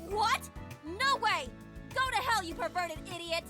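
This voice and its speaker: A fiery, proud young anime game heroine, quick to take offence. Her voice is very high and piercingly bright, snappy and indignant, with music behind. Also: expressive, heavily acted. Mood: outraged.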